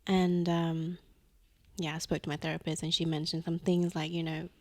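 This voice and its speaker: A woman's voice: deep voice, flat delivery, moderate pace, no echo, studio quality. A young woman co-hosting a podcast, telling stories in a reflective, easygoing way. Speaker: podcast co-host. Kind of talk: casual chat.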